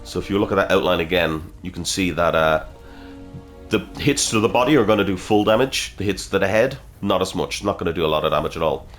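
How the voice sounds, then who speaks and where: Brief: low-voiced male game host, a bit sniffly from a cold, casual and swearing, faint game music underneath. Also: fast talker. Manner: chatty, swears, reacts aloud while fighting. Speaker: gaming video host. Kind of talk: casual gameplay commentary.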